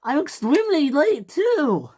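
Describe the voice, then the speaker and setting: Female cartoonish train, shrill, whiny, exasperated, extremely high-pitched, wildly swooping. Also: sing-song, a little slow, a little mellow. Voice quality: shrill, squealing. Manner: shrieking, whining, melodramatic. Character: frantic, exasperated female toy train engine Millie.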